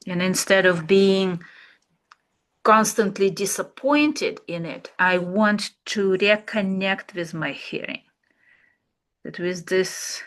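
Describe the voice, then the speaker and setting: Instructor, female, English, unhurried searching delivery, mid-range pitch. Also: a bit bright. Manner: slow, searching, with fillers and pauses. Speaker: art therapy instructor. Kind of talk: relaxed reflective class talk.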